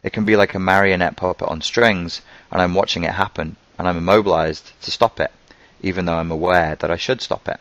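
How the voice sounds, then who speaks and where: A man with a very low voice and a softened Scouse accent hosts a live question-and-answer stream. He talks in a loose, conversational way, thinking aloud as he goes. His voice sits close and dry, with no room around it. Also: fairly even intonation, a little fast, no room sound, highs cut off. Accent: toned-down Scouse. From Merseyside, England. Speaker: host answering viewer questions.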